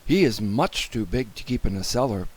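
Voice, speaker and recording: A man's voice: fairly low pitch, lively intonation, normal speed, a little mellow, slightly rough, low-quality recording. A man voicing a matter-of-fact, incredulous but decisive policeman in a children's storybook. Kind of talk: children's storybook read aloud.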